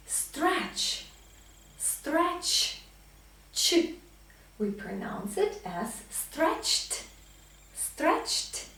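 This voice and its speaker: A woman in her thirties giving a pronunciation lesson as a teacher, enunciating example words deliberately and emphatically. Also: a little high, fairly bright tone. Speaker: English teacher. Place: small indoor classroom with whiteboard. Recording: very echoey room, distant microphone.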